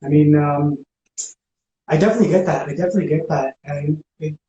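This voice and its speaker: Higher-voiced man in an echoey room. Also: steady tone, brisk, dark tone, highs cut off. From spent teenage years in Ecuador. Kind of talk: casual reflective conversation. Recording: roomy echo, faint background noise.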